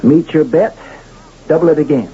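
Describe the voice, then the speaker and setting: An actor playing a terse, defiant Western poker player in an old-time radio drama. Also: male voice, fairly high pitch, normal speed, husky. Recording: muffled, noisy old radio recording.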